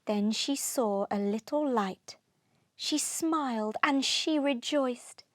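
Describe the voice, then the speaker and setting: A woman narrating a children's storybook aloud, expressively, with deliberate rhythmic delivery of rhyming verse. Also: on the high side, dry recording, studio quality.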